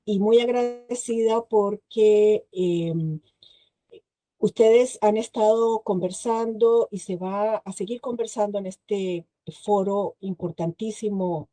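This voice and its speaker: A woman's voice: medium pitch, dark tone, dry recording. A middle-aged woman with a Costa Rican accent, giving a presentation to a virtual forum, hesitating often with filler sounds. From Chile. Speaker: guest speaker.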